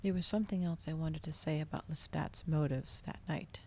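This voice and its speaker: A world-weary male vampire, read by a woman from a gothic storybook, speaking low with a gravelly edge. He is reflective and brooding, recounting his past in a measured way. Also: fairly even intonation, a little hiss or hum.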